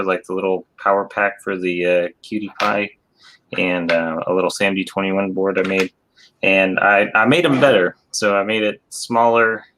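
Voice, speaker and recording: A man casually showing off his own projects as a guest, pausing often as he goes. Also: fairly low pitch, bright, forceful tone, low-quality recording. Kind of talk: casual show-and-tell.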